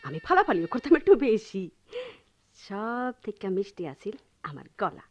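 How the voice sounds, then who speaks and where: An actress playing a defiant, playful village wife with a rural Bangladeshi accent in an emotional, singsong radio drama style. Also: female voice, medium pitch, very animated, moderate pace, lo-fi sound, faint music behind. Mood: playful, coy. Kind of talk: Bengali radio drama acting.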